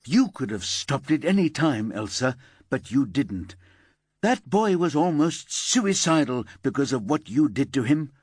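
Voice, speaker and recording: A man does a hard-edged policewoman, stern and cutting, her delivery lively and raised a little. Dry, close recording. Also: on the high side, slow pace, slightly rough, dry recording, voice pushed up, exaggerated voice. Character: harsh, unyielding female police detective.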